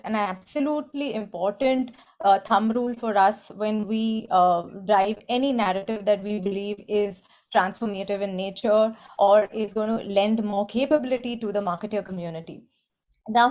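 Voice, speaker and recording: A woman's voice: medium pitch, a little mellow. Woman speaking at a trade webinar. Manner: measured, formal corporate speech with fillers. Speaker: trade body executive and webinar organizer. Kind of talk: webinar panel discussion.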